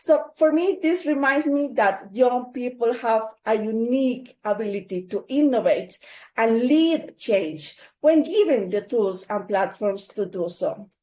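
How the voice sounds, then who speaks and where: A woman's voice: on the high side, lively intonation, unhurried, darkish tone. A woman ending a webinar presentation over a video call, earnest and hopeful. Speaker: webinar presenter from an advocacy organization. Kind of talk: closing a prepared presentation.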